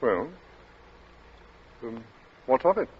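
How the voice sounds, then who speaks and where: An actor playing a timid, flustered gentleman in an old radio thriller, talking in hesitant, mumbled fragments as though cornered. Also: medium pitch, sing-song, slightly rough, heavily acted. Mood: bewildered. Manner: halting, mumbling, stammering hesitancy. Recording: muffled, noisy vintage radio recording.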